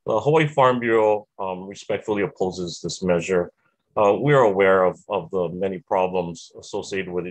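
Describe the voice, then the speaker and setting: A man's voice: low voice, no echo, rough recording. A man in his thirties testifying politely over a video call for a farm group. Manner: polite, steady, lightly repetitive. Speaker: farm lobby representative testifying. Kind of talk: formal testimony.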